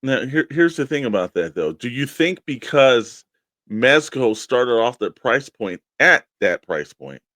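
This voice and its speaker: A man's voice: mid-range voice, big pitch swings, measured pace, fairly bright tone, no room sound, clean studio sound. A man co-hosting a talk show, chatting casually and putting questions to his partner. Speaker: co-host of a toy collecting show.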